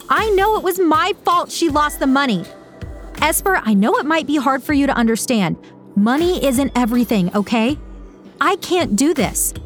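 A woman voicing an anxious young woman torn between duty and ambition, with a high, strained, pleading voice.

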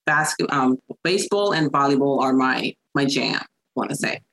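A woman with a Puerto Rican accent, a chiropractor chatting as a podcast guest, laughing often and filling pauses with ums. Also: rumbling low, lively intonation, a bit bright, low-quality recording. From Puerto Rico. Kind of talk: casual interview chat.

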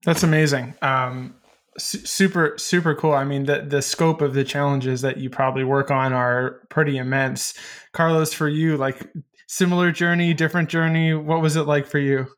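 A man hosting an interview, asking questions in a fluent, relaxed way. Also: a little high, normal speed. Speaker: interviewer host. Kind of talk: conversational interview.

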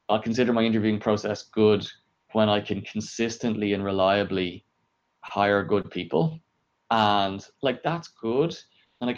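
A man's voice: lowish voice, lo-fi sound. A man giving conversational interview answers as an engineering leader, explaining emphatically with lots of "like" and rhetorical questions. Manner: emphatic, filler-heavy, self-questioning explanations with frequent "like". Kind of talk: conversational interview answers about work experience.

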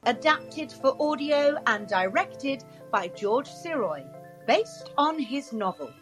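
Female announcer, high pitch, very varied intonation, scripted credits, music behind. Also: sing-song, takes it slowly.

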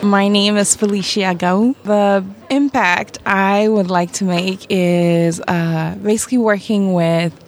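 A woman's voice: mid-range voice, fairly even intonation, takes it slowly, hardly any pauses. A young premed woman, earnestly answering a vox pop interview question. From Ghana. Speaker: premed student.

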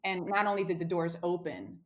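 A woman's voice: lowish voice, brisk. A woman from Romania, a cookbook author, telling a personal story in a casual interview, with many fillers and restarts.

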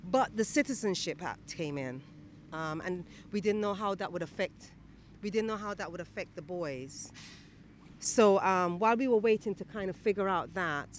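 Woman poet, reflective, halting, outdoors with faint traffic behind. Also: fairly low pitch. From Guyana. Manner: thoughtful, halting, many restarts and fillers. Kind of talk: reflective interview answers. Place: outdoors near a city church.

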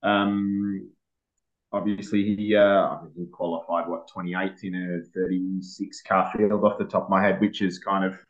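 An Australian man speaking as a motorsport editor, relaxed and hesitant with plenty of pauses. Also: deep voice, lo-fi sound. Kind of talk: casual motorsport chat.

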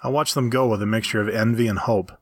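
A man's voice: fairly low pitch, steady tone, quick pace, no room sound, studio quality. A man reading first-person fiction aloud as a story narrator. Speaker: amateur story reader.

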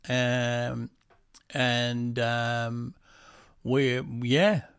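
A man's voice: mid-range voice, flat delivery, very slow, creaky, a bit bright, smooth, dry recording, studio quality. A man co-hosting a casual podcast, rambling with lots of ums and self-deprecating asides. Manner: breaks into quoted voices for jokes. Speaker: musician and podcast co-host.

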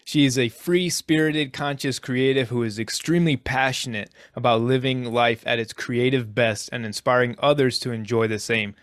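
A man's voice: medium pitch, steady tone, normal speed, few pauses, no echo, clean studio sound. A man hosting a podcast interview, speaking earnestly and appreciatively, with frequent restarts. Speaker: podcast host.

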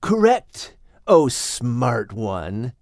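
A man voicing a menacing, boastful king cobra villain in a dramatized children's audiobook, with a gloating, taunting, drawn-out delivery. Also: mid-range voice, big pitch swings, darkish tone, no room sound, studio quality, heavily acted. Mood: gloating, sinister.

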